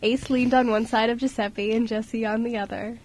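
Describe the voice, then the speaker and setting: A woman's voice: a little high, fairly even intonation, normal speed, darkish tone. A woman reading scripted storybook narration as the narrator of an amateur radio drama.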